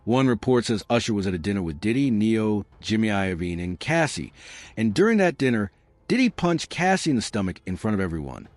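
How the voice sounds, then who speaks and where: A man's voice: lowish voice, very animated, a little fast, no room sound. A man reading a scripted gossip documentary narration as a voice-over. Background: music.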